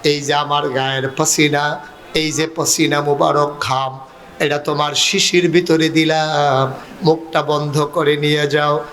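Bangladeshi guy preaching waz, high voice, really slow, emotional half-chanted storytelling. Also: flat delivery, a bit bright. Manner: emotive, half-chanted delivery with drawn-out phrases. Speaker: Islamic preacher. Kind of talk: impassioned waz sermon, dramatic religious storytelling.

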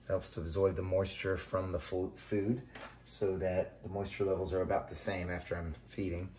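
A man hosting a composting tutorial, talking casually and relaxed as he works with his hands and shows what he is doing. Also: low voice, normal speed, slight background noise. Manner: relaxed, conversational, talks while working. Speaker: vermicomposting channel host.

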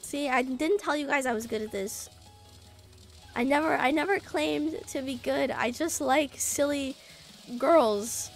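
A young woman streaming a game while sick, her voice raspy, swearing, joking with chat and singing la-la to herself. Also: sits high, a little slow. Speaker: VTuber streamer. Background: faint game music.

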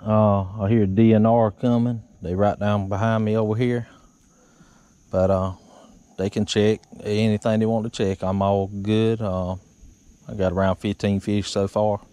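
A middle-aged man, chatting casually in a relaxed drawl while fishing from a small boat on a river. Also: fairly low pitch, unhurried. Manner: relaxed drawl with frequent uh fillers. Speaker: fishing vlogger. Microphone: action camera on the boat.

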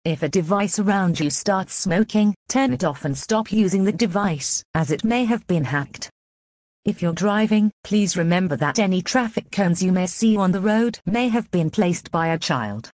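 A woman's synthetic voice reading scripted emergency announcements in a measured, impersonal way. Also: medium pitch, a little mellow, dry recording, studio quality.

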